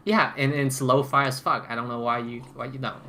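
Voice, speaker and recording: A man in casual podcast banter, halting and repeating words as he teasingly challenges the others. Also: medium pitch, fairly even intonation, pushed and bright. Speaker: podcast panelist.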